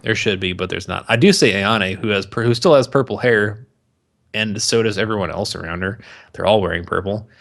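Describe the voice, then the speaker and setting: Low-voiced guy, jokey and foul-mouthed. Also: a little fast, creaky, fairly bright tone. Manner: profane, jokey ranting. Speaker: podcast co-host. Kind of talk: casual banter podcast chat.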